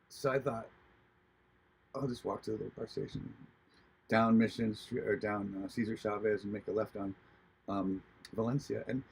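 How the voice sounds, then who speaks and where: A man from Hawaii telling a personal spiritual story in a halting, earnest way, with many restarts. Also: medium pitch, breathy edge, poor audio. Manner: earnest, reflective. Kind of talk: personal testimony, casual storytelling.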